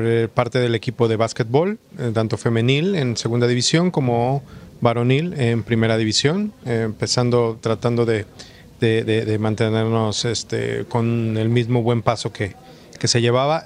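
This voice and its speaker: A man who coordinates university sports speaks in an interview clip. He hesitates often and fills pauses. His pitch sits in the middle range. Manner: hesitant, many fillers. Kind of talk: interview soundbite.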